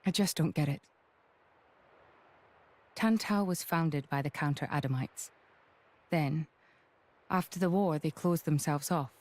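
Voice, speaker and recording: Composed military lady for a fantasy game, lowish voice, shrewd and formal delivery. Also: darkish tone. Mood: pensive. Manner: measured, formal.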